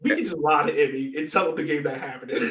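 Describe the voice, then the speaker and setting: A man's voice: on the high side, expressive, moderate pace, fairly bright tone. A man co-hosting a sports podcast, chatting casually about soccer in an informal, joking way. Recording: roomy echo, distant from mic.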